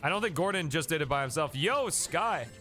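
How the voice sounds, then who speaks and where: A man's voice: a little high, animated, brisk, sharp tone, soft background music. A man live-streaming a game, chatting casually and thanking viewers by name, a bit sniffly from a coming cold.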